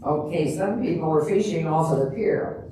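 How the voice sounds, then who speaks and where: A woman's voice: deep voice, normal speed, lightly acted. An older woman playing a chatty, long-suffering best friend in an amateur comedic stage reading, with a dry, punning delivery. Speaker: amateur readers theater performer. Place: large echoey room before an audience. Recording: echoey hall from far off, noisy.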